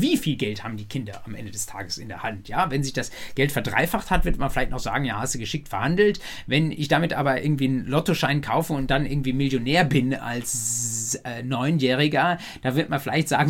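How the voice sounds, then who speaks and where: A man giving a university law lecture, explaining freely in a colloquial way and addressing his students directly. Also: medium pitch.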